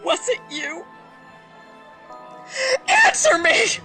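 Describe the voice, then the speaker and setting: A woman's voice: extremely high pitch, wildly swooping pitch, drawn-out words, pushed and bright, faint music behind, heavily acted. A woman playing an anguished, angry soldier in an audio drama, impassioned, pleading and accusing. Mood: angry demand. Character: anguished, angry female soldier haunted by betrayal.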